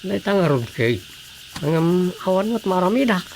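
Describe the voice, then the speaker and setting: A man's voice: sits high, very animated, moderate pace, darkish tone, a little hiss or hum. A man playing an anxious, loyal army officer in a radio drama. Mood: troubled.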